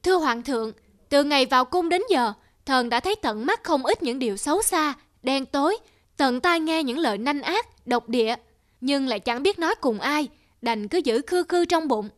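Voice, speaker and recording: Woman playing a very high-voiced, deferential court minister. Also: lively intonation. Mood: solemn, aggrieved. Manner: deferential, measured. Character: dutiful, candid male court minister. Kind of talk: cartoon folktale dub. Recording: music under the dialogue.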